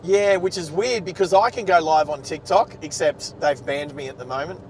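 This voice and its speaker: A man's voice: normal speed, a little mellow, rough recording. An Australian man chatting casually and ramblingly as he hosts a livestream from inside a moving car. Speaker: livestream host, driver. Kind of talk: casual chat. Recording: car rumble and road noise.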